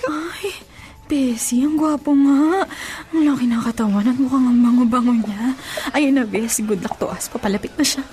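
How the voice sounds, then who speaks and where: A woman's voice: sits high, normal speed, dark tone, close to an everyday voice. A woman playing a flirty, bold single office worker in her twenties, with playful, theatrical radio drama delivery. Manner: playful, theatrical, Taglish banter.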